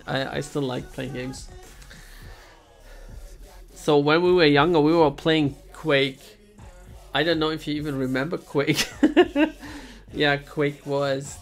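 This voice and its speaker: A man's voice: a little high, gravelly, a bit bright. A man from Bavaria, a native German speaker, chatting casually on a game stream, often restarting sentences and chuckling.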